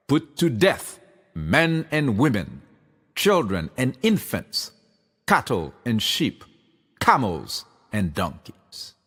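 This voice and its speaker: A man reading scripture aloud in a solemn, deliberate way. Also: mid-range voice, a bit bright. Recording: recording with long reverb.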